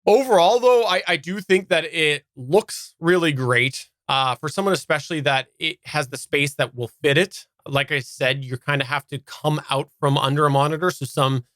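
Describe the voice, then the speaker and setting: A man's voice: fairly high pitch, normal speed, hardly any pauses, fairly bright tone, dry recording. A man from Canada giving a casual, unscripted product review as a podcast host, explaining in detail and stressing key words.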